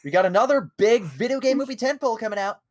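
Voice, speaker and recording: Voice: mid-range voice, animated, fast. Rambling podcast host sharing news. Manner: rambling, hesitant, fillers and restarts, playful. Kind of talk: casual chat.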